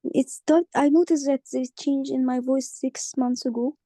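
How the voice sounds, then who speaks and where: A woman playing a hoarse forty-nine-year-old patient with a croaky voice, speaking haltingly with many pauses and restarts. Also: high voice, steady tone, warm tone, no room sound. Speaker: simulated patient in exam practice.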